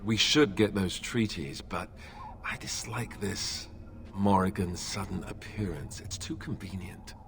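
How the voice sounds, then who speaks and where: A man's voice: low voice, fairly even intonation, measured pace, vocal fry, a bit bright, a little hiss or hum, exaggerated voice. An actor playing a wary, sceptical male warrior companion in a fantasy video game. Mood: suspicious.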